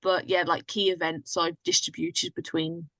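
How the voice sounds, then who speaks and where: A woman's voice: on the low side, fairly even intonation, normal speed, fairly bright tone, dry recording, low-quality recording. Chatty woman guest, dry close sound. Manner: chatty, informal explaining. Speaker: podcast guest, writer and actress. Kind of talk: casual chat.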